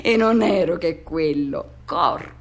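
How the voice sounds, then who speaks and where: A woman acting a radio theatre monologue as a yearning, tormented man recalling first love, in short, halting, insistent repeated phrases. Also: low-pitched, creaky, heavily acted. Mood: searching. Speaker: actor.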